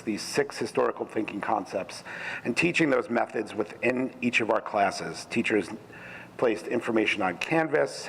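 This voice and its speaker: Middle-aged man, department supervisor, loud and halting, hall echo with faint background noise. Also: fairly low pitch. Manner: loud voice, hesitant with frequent ums. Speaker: social studies department supervisor. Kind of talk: formal presentation to a school board. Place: school meeting hall. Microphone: room microphone.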